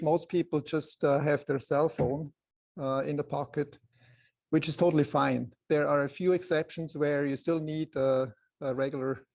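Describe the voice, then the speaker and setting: Male photography presenter, Swiss accent, soft but slightly high voice with a gravelly creak, halting lecture delivery. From Switzerland. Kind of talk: informal slide lecture to a live group.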